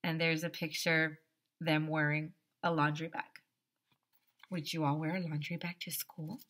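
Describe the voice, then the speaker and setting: A woman reading a children's story aloud in an expressive, playful way, speaking directly to young listeners as a teacher would. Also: low-pitched, moderate pace. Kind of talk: animated children's read-aloud.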